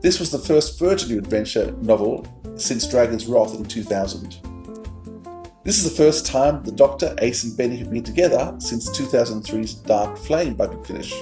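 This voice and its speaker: A man's voice: medium pitch, dark tone. A man co-hosting a fan podcast, presenting facts and chatting. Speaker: podcast co-host. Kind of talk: trivia read-out and fan chat.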